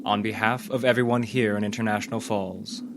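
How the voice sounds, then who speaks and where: A man's voice: lowish voice, steady tone, a little fast. A man reading scripted credits as the announcer of a radio drama. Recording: loud background noise under voice.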